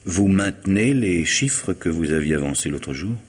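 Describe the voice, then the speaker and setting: An actor playing a wary, guarded man facing his blackmailer in a radio mystery, speaking in a measured, formal way. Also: low-pitched, expressive, breathy edge. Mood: wary, bargaining. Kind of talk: French radio mystery drama acting.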